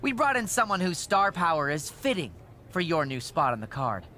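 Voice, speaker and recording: Male for a gloating game villain, a wrestling boss: higher, sharp voice, mock-sympathetic. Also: very animated, normal speed, a little hiss or hum. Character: smug, taunting, spiteful male wrestling show boss. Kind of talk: video game cutscene acting.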